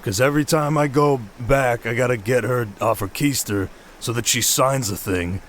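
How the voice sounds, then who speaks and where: A man's voice: mid-range voice, moderate pace, a little hiss or hum, natural voice. A man playing a chummy, scheming oni aide in a fantasy audio roleplay, griping in casual, colloquial talk. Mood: amused griping.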